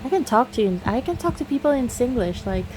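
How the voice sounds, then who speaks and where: A woman's voice: a little high, very animated, quick pace, darkish tone, slight background noise, rough recording. A woman from Singapore with a Singaporean accent, chatting casually to viewers about a mobile game, filling her talk with "you know" and laughing often.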